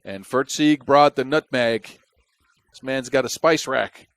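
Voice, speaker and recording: A man's voice: mid-range voice, a little slow, a bit bright, no echo. A man from the Netherlands with a Dutch accent, giving chatty, wry play-by-play basketball commentary on a close mic. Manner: chatty and wry, with jokes between plays.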